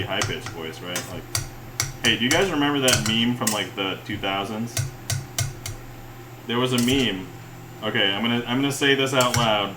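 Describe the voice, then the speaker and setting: A man hosting a cooking livestream, rambling and chuckling often as he greets viewers, with a relaxed-sounding voice. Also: fairly low pitch, noisy background. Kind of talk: casual livestream chat while cooking. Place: home kitchen. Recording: echoey room with loud cookware clatter. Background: clinking cutlery, pots and pans.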